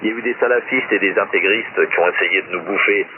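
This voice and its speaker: Male ham radio operator, fairly deep, informal rambling opinion talk, squeezed through a staticky shortwave link. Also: fast talker. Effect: shortwave ham radio transmission. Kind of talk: casual opinionated radio chat. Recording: noisy narrow-band shortwave radio link.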